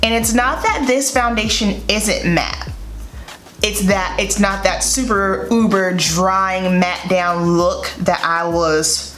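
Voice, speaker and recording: A woman's voice: mid-range voice, fairly even intonation, takes it slowly, a bit bright. A woman in her thirties with a Southern US accent, chatting upbeat through a makeup tutorial at her vanity, a bit congested from a head cold. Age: 30s. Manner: chatty, upbeat, repeats words for emphasis. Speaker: beauty vlogger. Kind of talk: casual makeup tutorial chat. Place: bedroom vanity room, indoors. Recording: echoey room, faint music.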